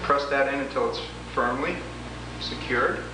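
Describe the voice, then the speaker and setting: Guy doing a methodical how-to demo, mic far off, noisy old 80s tape. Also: mid-range voice. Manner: deliberate, methodical explaining. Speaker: product demonstrator. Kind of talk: instructional demo walkthrough, step by step. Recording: roomy, echoing, noisy 1980s demo tape, distant mic.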